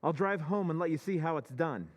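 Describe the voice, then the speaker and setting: A man's voice: a little high, animated, brisk, lightly acted. A man playing a once-timid husband with a secret scheme, speaking in a sly, conspiratorial way in a radio drama recreation. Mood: giddy. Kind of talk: old-time radio drama acting.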